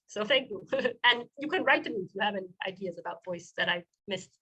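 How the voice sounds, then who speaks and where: A woman's voice: mid-range voice, a little fast, bright, forceful tone, slightly rough, poor audio. A woman lecturer answers audience questions over a video call, thinking aloud with many restarts. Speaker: guest lecturer, doctoral student.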